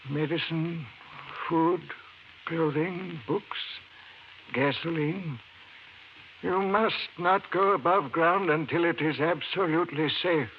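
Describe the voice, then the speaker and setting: Actor as frail dying professor, muffled old radio. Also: male voice, on the high side, a little hiss or hum, lightly acted. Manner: halting, urgent. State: weak, failing. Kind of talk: old-time radio drama acting.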